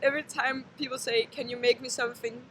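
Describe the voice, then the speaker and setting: A woman's voice: a little high, steady tone, fast talker, fairly bright tone. A young woman with a Danish accent, a native Danish speaker, answering questions in a giggly, hesitant, casual chat on a beach. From Denmark. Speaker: interviewee. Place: outdoors at a beach. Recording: noisy outdoor ambience.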